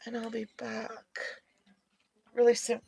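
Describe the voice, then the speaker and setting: Gravelly chatty woman losing her voice. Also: a little high, monotone, unhurried, warm tone, low-quality recording. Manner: rambling, chatty, with many fillers. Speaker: streamer knitting on a livestream. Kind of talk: casual chat.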